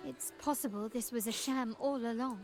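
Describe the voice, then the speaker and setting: Actress as composed shrewd lady from a game, kinda high voice, very formal, music in back. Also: fairly even intonation, normal speed, a little mellow, close to an everyday voice. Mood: composed, approving. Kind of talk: dramatic video game acting.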